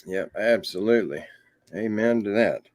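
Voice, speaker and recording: A man's voice: fairly low pitch, moderate pace, a little mellow, dry recording, clean studio sound. A man appearing as a guest on a casual live stream, easygoing and agreeable.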